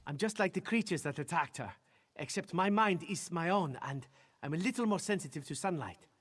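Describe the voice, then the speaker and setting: An actor playing a blunt, self-possessed vampire in a video game, speaking in a husky, ominous way. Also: male voice, on the high side, animated, fairly quick, fairly bright tone. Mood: guarded, commanding. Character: guarded, self-possessed male vampire, curt and grimly urgent.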